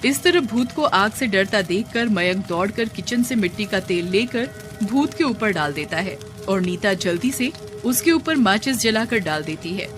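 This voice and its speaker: A woman's voice: medium pitch, lively intonation, normal speed, hardly any pauses, over music. A woman giving scripted narration for a scary cartoon story.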